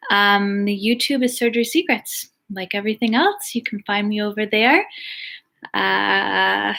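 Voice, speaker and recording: A woman's voice: mid-range voice, unhurried, vocal fry. Chatty woman hosting a live stream. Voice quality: soft relaxing voice. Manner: chatty, reads comments aloud, frequent "um". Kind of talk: casual live chat answering viewer questions.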